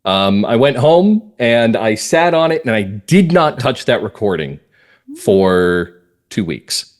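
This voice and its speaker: An American man talking as a guest writer in a conversational interview, explaining things reflectively and emphatically in short measured phrases. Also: medium pitch, sing-song. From northern Massachusetts, US. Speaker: guest playwright and comedy writer.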